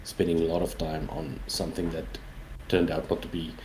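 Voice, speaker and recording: Deep-voiced South African man, noisy recording. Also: completely flat delivery, moderate pace, darkish tone. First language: Afrikaans. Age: 40s. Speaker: interview guest, indie game developer. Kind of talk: casual interview answers.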